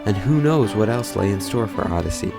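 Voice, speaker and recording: Low-voiced man narrating a documentary. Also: expressive, fairly quick, gravelly, over loud music. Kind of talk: scripted narration.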